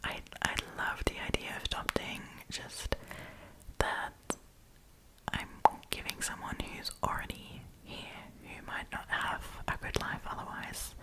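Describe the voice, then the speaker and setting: A woman whose first language is German, speaking with an Australian accent, chatting in a whispered ASMR storytime with many "like" fillers and pauses to search for words. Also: low-quality recording.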